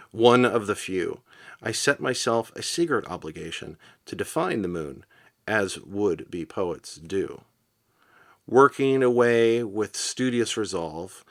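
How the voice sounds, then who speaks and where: A man reading literary verse aloud in measured, deliberate phrases with pauses. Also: lowish voice. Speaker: poetry reader.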